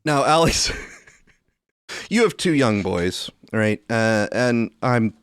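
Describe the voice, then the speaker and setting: A man's voice: mid-range voice, lively intonation, very slow, fairly bright tone, clean tone, no room sound, clean studio sound. A man hosting a podcast with casual commentary, wry and roundabout in the way he talks. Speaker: podcast host.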